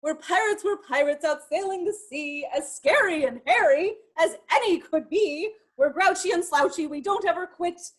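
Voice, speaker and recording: A woman's voice: moderate pace, few pauses. A woman performing a scruffy, happy pirate crew's boastful sing-song shanty from a storybook. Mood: rowdy glee. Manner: sing-song boasting with a high final whoop.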